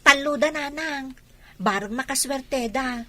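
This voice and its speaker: A woman's voice: sits high, a little slow, fairly bright tone. A woman playing an earnest, respectful young daughter with big dreams in a radio drama. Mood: earnest, dutiful. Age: young adult.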